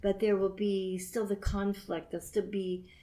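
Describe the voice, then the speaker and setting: A middle-aged or older woman guest giving her impressions in a casual, reflective chat, with thoughtful, halting phrasing. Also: mid-range voice, little pitch movement, dark tone.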